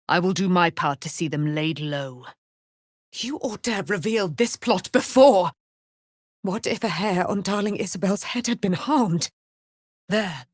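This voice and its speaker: A woman's voice: on the low side, dry recording, clean studio sound. An actress voicing a proud, righteous immortal warrior woman in a fantasy game, speaking in a grand, declamatory manner with archaic, formal diction. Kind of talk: fantasy video game character acting.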